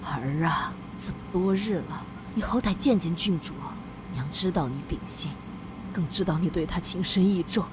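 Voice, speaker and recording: Woman voicing a gentle noble mother, noisy background. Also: fairly low pitch, moderate pace, close to an everyday voice. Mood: coaxing, concerned. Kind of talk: dramatic period animation dubbing. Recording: clear background noise behind voice.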